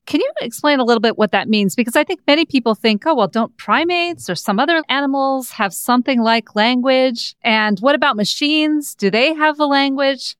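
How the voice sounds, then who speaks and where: A woman's voice: high voice, smooth, no room sound, studio quality. A middle-aged woman hosting an interview podcast, reading a scripted introduction and then asking questions with enthusiasm. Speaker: podcast host.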